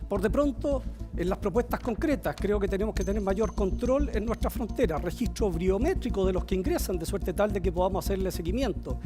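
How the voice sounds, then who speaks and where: A man with a Chilean Spanish accent, a debate candidate giving a measured, formal answer and listing proposals. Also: high voice, lively intonation, over music.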